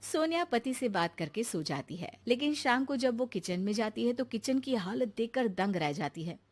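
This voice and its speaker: A woman's voice: on the high side, animated, moderate pace. A woman narrator telling a scripted cartoon story.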